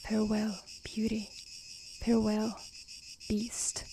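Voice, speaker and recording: A woman voicing a frightened, loving older merchant father in a soft, breathy storybook reading. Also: mid-range voice, completely flat delivery, slow. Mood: fearful, tender. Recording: faint background noise with chirping insects.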